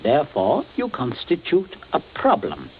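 A ruthless, commanding gentleman in a vintage radio thriller, clipped and formal. His pitch swings widely as he issues cool threats. The sound is thin and narrow, with faint hiss. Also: wildly swooping pitch, unhurried. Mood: cold, controlled. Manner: clipped, formal, coolly menacing. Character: coldly ruthless, commanding gentleman patriarch covering up a killing. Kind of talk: 1940s radio thriller acting. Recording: muffled, noisy old-time radio recording.

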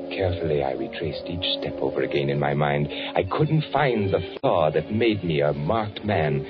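A tense hunted spy in an old radio thriller, very low brooding voice, music behind, muffled sound. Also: male voice. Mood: anxious, searching. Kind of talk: 1940s radio thriller acting, first-person narration.

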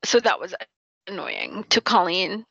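A woman's voice: mid-range voice, unhurried, vocal fry, pushed and bright, slightly rough, no echo. A woman with a New York accent, chatting casually about a book, emphatic and often stopping to rephrase herself. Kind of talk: casual chat. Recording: dull lo-fi sound.

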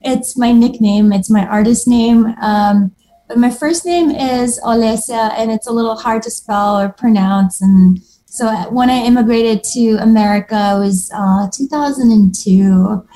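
Bright-voiced woman, chatty. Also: a little high, a little slow, a little hiss or hum, poor audio. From Moldova. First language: Moldovan and Russian. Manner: chatty, laughs often, many restarts. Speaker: guest artist. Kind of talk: casual conversation.

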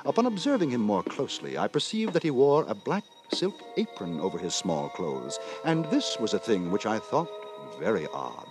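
An actor playing a prim, moralising gentleman who narrates in wordy, formal, mock-solemn 1950s radio drama style. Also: fairly low pitch, huge pitch swings, moderate pace, hardly any pauses. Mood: wry, measured. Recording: 1950s radio, music behind.

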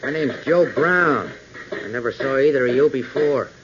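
A man plays a big lumbering mechanic in an old radio serial, stubborn and defiant. His slangy talk sits at a middle pitch, under heavy crackle and a dull, narrow sound. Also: expressive, moderate pace, close to an everyday voice. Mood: annoyed. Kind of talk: 1940s radio acting. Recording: noisy, muffled 1940s radio recording.

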